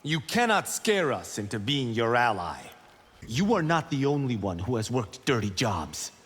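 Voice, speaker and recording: Casting: a proud working-class brawler for a fighting game, animated and confrontational, long reverb, faint noise. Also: male voice, medium pitch, normal speed, slightly rough. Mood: challenging. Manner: challenging, earnest. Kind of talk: video game character acting.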